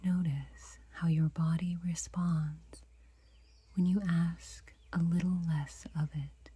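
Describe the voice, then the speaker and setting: A woman guiding a meditation in a soft, breathy whisper, unhurried and gentle, leaving long pauses. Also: lowish voice, fairly even intonation, dry recording. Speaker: meditation guide.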